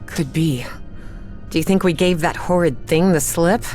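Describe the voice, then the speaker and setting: A woman's voice: fairly low pitch, a little slow, darkish tone, natural voice. An actress playing a determined, plucky young woman adventurer in a cinematic game. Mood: wary. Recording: music under the voice.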